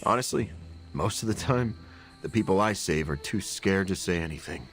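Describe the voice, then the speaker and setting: A guarded antihero bonded to a symbiote, talks low and gravelly in a video game. The delivery is casual and wry, with self-deprecating candor. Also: male voice, animated, normal speed, a bit bright, soft background music. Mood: wry, wistful. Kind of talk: conversational video game acting.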